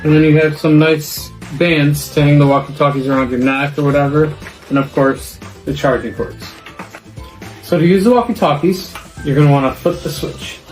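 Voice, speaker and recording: A woman's voice: low voice, normal speed, darkish tone, soft background music, rough recording. A woman giving a casual, plain-spoken product demonstration in an informal way. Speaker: product reviewer.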